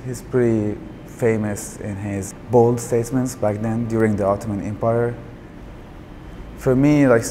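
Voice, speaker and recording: A man in his thirties from Syria, with a Syrian Arabic accent, speaking in a soft-spoken, reflective documentary interview. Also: mid-range voice, a little hiss or hum. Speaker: artist. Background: background music.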